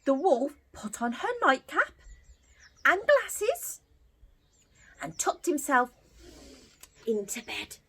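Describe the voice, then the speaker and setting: Children's storyteller, woman: higher-pitched, very lively intonation, unhurried and theatrical, speaking to little ones. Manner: addresses young children, theatrical. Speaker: children's party entertainer and storyteller.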